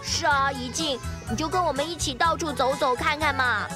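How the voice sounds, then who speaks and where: An actor performs a young schoolboy with a high, whiny, defensive voice. It is children's radio drama acting from an old cassette, with clear music behind. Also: normal speed, natural voice. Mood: complaining.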